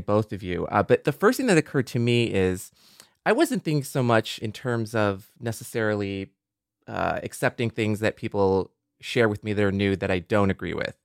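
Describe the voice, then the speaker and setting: A man's voice: lowish voice, animated, normal speed, gravelly, dry recording, clean studio sound. An American man from Detroit co-hosting a podcast in a casual, conversational style. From Detroit, United States. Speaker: podcast co-host.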